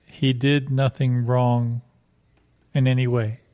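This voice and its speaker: A man's voice: medium pitch, level tone, slow pace, mellow, natural voice. A man playing an earnest, stubborn defence lawyer in an improvised comedy sketch, formal and insistent. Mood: formal insistence. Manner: formal, insistent legal phrasing. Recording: light guitar music behind.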